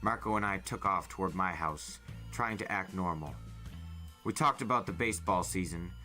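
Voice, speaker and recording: A man reading a first-person novel aloud as a storyteller, in animated audiobook-style narration. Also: sits low, normal speed, faint music behind. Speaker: podcast host reading a novel.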